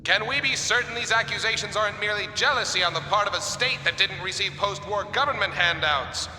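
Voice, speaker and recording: Game politician: skeptical, pointed, very bright tone, long reverb. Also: male voice, high-pitched, fairly quick, slight background noise. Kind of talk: video game cutscene acting. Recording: echoey hall with long reverb.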